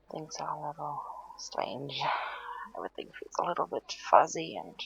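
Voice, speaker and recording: Woman doing a tired weak patient gal for audio rp, slow halting reassurance, bit muffled recording. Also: low-pitched, heavily acted. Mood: drained, woozy. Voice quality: breathy, weak, husky. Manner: halting, hesitant, soothing, downplaying. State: tired, drained. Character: weary heat-exhausted female patient reassuring a worried partner. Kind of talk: intimate audio roleplay, one side of conversation.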